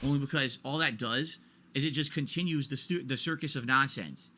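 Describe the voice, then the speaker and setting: A man's voice: medium pitch, brisk, sharp tone. A man in his forties ranting during a stream, exasperated and repetitive, hammering his points home. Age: 40s.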